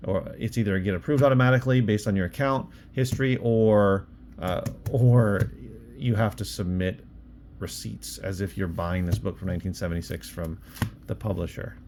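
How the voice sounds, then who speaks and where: A man's voice: lowish voice, steady tone, normal speed, darkish tone. A man chatting casually in a vlog about his own work, offhand and thinking aloud as he goes. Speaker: online reseller and bookstore owner, vlog host. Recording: clear background noise.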